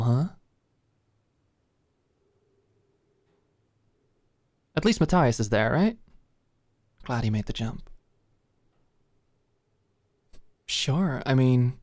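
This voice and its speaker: A woman playing a confident, doting, teasing girlfriend in an intimate ASMR roleplay, soft and playful. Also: rumbling low, moderate pace, no echo, studio quality. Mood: gossipy, teasing.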